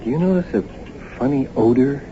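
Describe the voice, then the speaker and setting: A man's voice: mid-range voice, lively intonation, fairly quick, dark tone, close to an everyday voice. A man acting in a radio drama as a practical, easygoing husband who talks in a casual, matter-of-fact way. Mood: casual, wry. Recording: muffled, noisy old radio broadcast.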